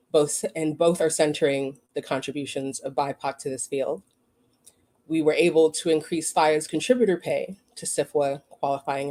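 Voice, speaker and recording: An awards organizer reading a prepared formal speech in a solemn, measured and earnest way. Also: medium pitch, mellow.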